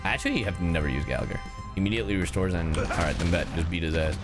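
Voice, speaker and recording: A man giving casual game reaction commentary, brash and expressive, with lots of swearing and slang. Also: low voice, rapid-fire. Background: game music.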